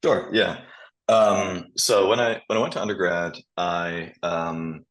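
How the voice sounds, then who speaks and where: A man in his thirties from Switzerland with an American accent, answering interview questions in a measured way with restarts. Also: deep voice, fairly even intonation, fairly bright tone. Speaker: guest, tech founder.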